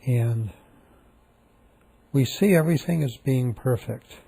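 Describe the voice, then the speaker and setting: A man leading a guided meditation, speaking calmly and softly with long, repetitive pauses. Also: mid-range voice, gravelly, husky.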